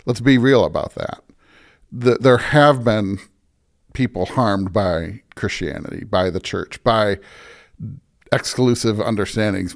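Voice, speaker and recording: A man's voice: mid-range voice, vocal fry. An American man talking as a pastor in a conversational interview, earnest and emphatic, often checking in with "right?" and "you know". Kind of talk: conversational podcast interview, explaining beliefs.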